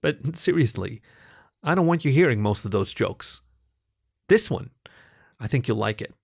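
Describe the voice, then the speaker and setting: A man's voice: mid-range voice, sing-song, moderate pace, gravelly, slightly rough, heavily acted. A man voicing a nervous, lovestruck dog comedian from a story, with a self-deprecating, stammering, joking delivery. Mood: wry.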